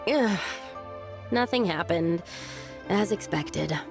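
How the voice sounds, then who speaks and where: An actress playing an earnest young woman in a video game, easily flustered, hesitant and expressive. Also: on the low side, takes it slowly, music in the background. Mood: resigned. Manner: hesitant, expressive, stammers when startled.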